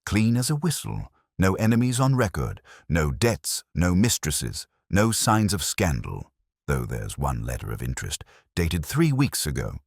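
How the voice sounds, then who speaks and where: A man's voice: sits low, huge pitch swings, measured pace, vocal fry, slightly rough, no echo, exaggerated voice. A man voicing a businesslike police investigator in a mystery story, reporting in a matter-of-fact way.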